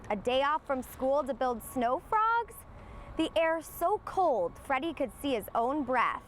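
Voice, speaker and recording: A woman reading a children's storybook aloud in an expressive storytelling manner. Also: high-pitched, slight background noise. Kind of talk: scripted storybook narration for young children.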